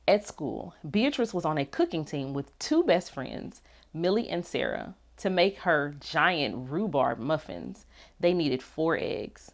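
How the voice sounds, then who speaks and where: A woman reading a children's storybook aloud in an expressive, animated way with dramatic pauses. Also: sits low. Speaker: read-aloud narrator.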